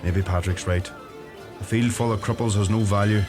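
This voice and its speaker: Music plays under a low-pitched young Donegal farmer, played by a male actor in a radio drama. He is gentle, modest and reflective, speaking in an unhurried Donegal Irish accent. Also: steady tone, lightly acted. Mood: wistful. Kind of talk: radio play acting.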